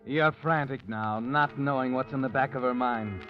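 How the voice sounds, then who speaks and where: A man's voice: medium pitch, normal speed, loud music behind the voice, close to an everyday voice. An actor playing a foreboding male narrator who addresses the hero as "you" in an old radio drama. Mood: ominous. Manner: second-person, knowing and foreboding. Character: the Whistler, ominous male narrator speaking to the hero. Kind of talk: 1940s radio acting. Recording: muffled old radio recording.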